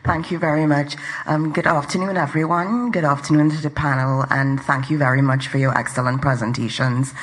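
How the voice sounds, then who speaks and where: A woman's voice: low voice, fairly even intonation, a little fast, hardly any pauses, poor audio. A woman from Trinidad and Tobago with a Trinidadian accent, making a polite, articulate comment from a floor microphone at a conference. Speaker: audience member at a conference. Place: large conference hall.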